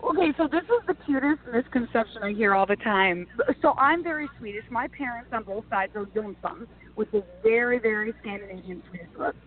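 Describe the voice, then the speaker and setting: A woman's voice: on the high side, brisk, hardly any pauses. A woman from Minnesota, a TV and news personality, chatting animatedly as an interview guest. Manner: chatty and animated, frequent restarts. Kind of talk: casual interview answers. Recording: tinny phone-like line.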